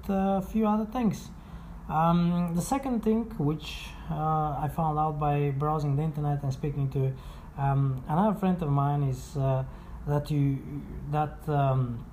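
Low-voiced, mellow woman explaining informally. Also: a little hiss or hum. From Bulgaria. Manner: hesitant, halting phrasing with frequent self-corrections. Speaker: blogger and presenter.

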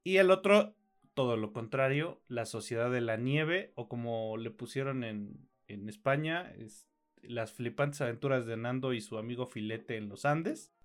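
A man's voice: medium pitch, a little slow, soft background music. A tired Mexican man co-hosting a film podcast, rambling informally and correcting himself as he goes. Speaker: podcast co-host. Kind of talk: casual film podcast chat.

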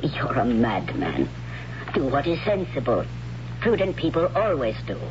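An actor, heard as a man, playing a stern priestess in a 1970s radio drama, speaking in a hushed, whispery voice, on a muffled old radio recording with hiss. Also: medium pitch, sing-song, unhurried. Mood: solemn. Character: stern solemn priestess of warrior women.

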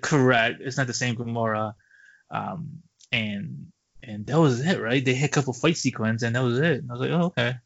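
A man co-hosting a podcast, talking casually about movies in a halting, loosely phrased way. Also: mid-range voice, vocal fry, a bit bright. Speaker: podcast co-host. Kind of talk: casual movie review chat. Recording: dull lo-fi podcast audio.

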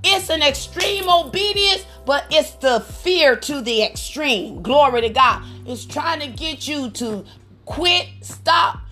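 A woman minister preaching fervently on a prayer call, with a slightly raspy voice and frequent bursts of praise. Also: high-pitched, lively intonation, slow, pushed and bright, soft background music. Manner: fervent, repeats praise phrases.

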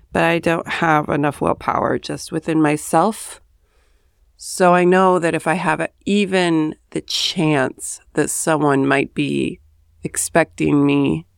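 Brief: woman host, just up and hoarse, gravelly edge, smooth resonant tone, rambling chatty asides, dry close sound. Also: low voice, dry recording. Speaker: podcast host. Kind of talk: casual solo monologue. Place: home, early morning.

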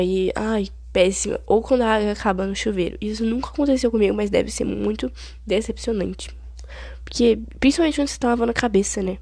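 Late-teen woman, Brazilian Portuguese accent, podcast host with a head cold; husky, dry close sound, chatty and dramatic. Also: medium pitch, mellow, dry recording. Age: late teens. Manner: chatty, rambling, dramatic complaining. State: stuffy-nosed with a cold. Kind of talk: casual solo podcast chat.

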